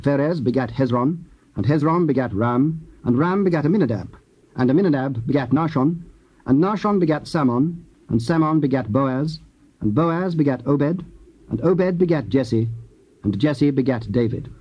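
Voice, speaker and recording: A man giving measured, solemn scripted scripture narration. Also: medium pitch, expressive, slightly rough. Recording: dull old cassette tape.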